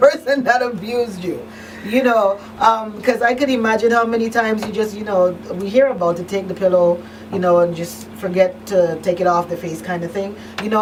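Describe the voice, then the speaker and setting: A woman hosting a talk show, interviewing conversationally and attentively, feeling her way through questions. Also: medium pitch. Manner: attentive, rephrases questions, frequent murmured acknowledgments. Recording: distant mic, noisy room hum.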